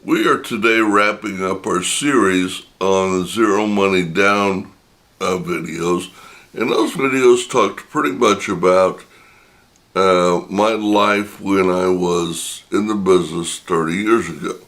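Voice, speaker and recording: An older man with a deep, gravelly voice that is a touch raspy from a long session of talking. He speaks slowly and reflectively, pausing often as he tells a personal story to his viewers. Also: fairly bright tone. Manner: reflective and unhurried, many pauses. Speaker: channel host.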